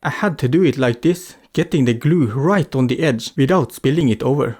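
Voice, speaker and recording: A man's voice: medium pitch, moderate pace, a little mellow. A man giving an explanatory voice-over for a craft tutorial. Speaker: craft vlogger narrating a tutorial.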